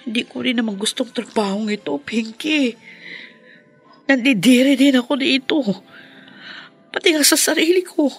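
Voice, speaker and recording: A young Filipina bar worker, a loyal and resigned friend. Her voice is high, given to soothing words and little exclamations. It is radio drama acting with faint music behind. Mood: resigned, bitter. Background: background music.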